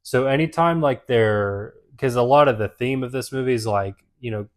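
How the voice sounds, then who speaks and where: A man's voice: medium pitch, moderate pace. A man co-hosting a casual film podcast, rambling through his points and leaning on "like" a lot. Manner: rambling, leans hard on "like". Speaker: podcast co-host. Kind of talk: casual movie discussion.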